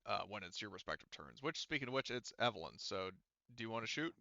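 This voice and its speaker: A man's voice: on the low side, very animated, fast talker, creaky, bright, forceful tone, no echo. A man running a tabletop game as game master, narrating casually and informally with some swearing, on slightly dull audio.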